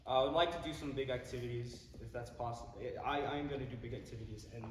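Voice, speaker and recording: A teenage male candidate giving an informal speech to a group, halting and often rephrasing himself. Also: mid-range voice. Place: large echoey indoor room. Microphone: distant microphone. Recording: echoey hall from far off, background noise.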